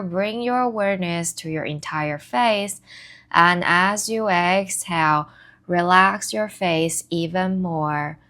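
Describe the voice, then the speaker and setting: A woman leading a guided body scan meditation as an instructor, giving soothing, measured instructions in short phrases with pauses between them. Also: lowish voice, fairly bright tone. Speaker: meditation and somatic movement instructor.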